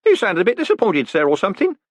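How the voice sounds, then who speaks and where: Excitable, high-pitched old postman. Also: quick pace, dry recording, heavily acted. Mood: cheerful, chatty. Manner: folksy, colloquial, eager. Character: excitable, chatty, deferential old male postman. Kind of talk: audiobook character voice.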